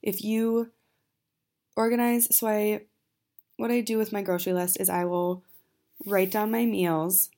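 A woman's voice: mid-range voice, level tone, measured pace, pauses often, dark tone. A young woman, a little sniffly with allergies, chatting casually and informally as she hosts a solo podcast. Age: young adult. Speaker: podcast host and student.

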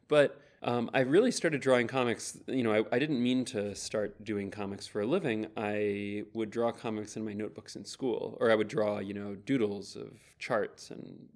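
A man, answering interview questions in a relaxed, thoughtful way, with many fillers. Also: medium pitch. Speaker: interview guest.

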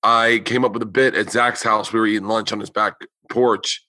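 Bright-voiced profane male podcast host. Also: lowish voice, steady tone, moderate pace, dry recording. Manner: loose, profane storytelling with quoted voices. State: losing his voice. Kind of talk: casual chat.

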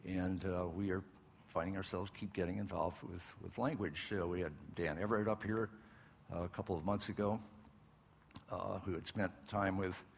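Male host, low and gravelly, relaxed intro patter full of fillers, reverberant hall. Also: slight background noise. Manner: conversational with frequent fillers. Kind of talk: live talk introduction. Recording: long reverb, faint ambience.

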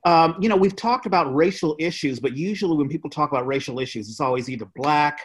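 A man's voice: fairly high pitch, fast talker, husky. A man co-hosting a casual interview, asking questions in a polite, tentative, roundabout way. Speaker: podcast co-host asking questions.

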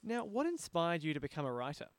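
Higher-pitched Australian man hosting a show. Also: huge pitch swings, fast, clean tone, dry recording. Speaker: podcast host. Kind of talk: scripted show introduction and interview.

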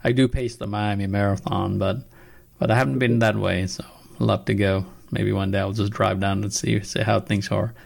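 A young man from Nepal hosting a casual interview, asking halting questions with frequent restarts. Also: fairly low pitch, level tone, vocal fry. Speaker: podcast host.

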